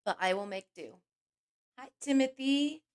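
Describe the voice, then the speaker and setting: A woman hosting a livestream with a scratchy, hoarse voice from a cold, chatting casually and greeting each viewer by name. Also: high voice, expressive, measured pace. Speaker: livestream host.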